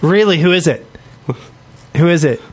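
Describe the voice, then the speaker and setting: A man's voice: a little high, normal speed. A man co-hosting a podcast in casual chat, speaking bluntly and jokingly with plenty of opinions. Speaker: podcast co-host.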